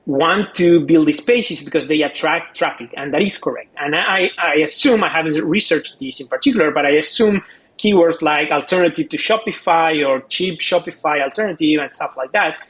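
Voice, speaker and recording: A man's voice: on the high side, normal speed, fairly bright tone, poor audio. A man giving casual expert commentary as a co-host, explaining step by step and often repeating words as he goes.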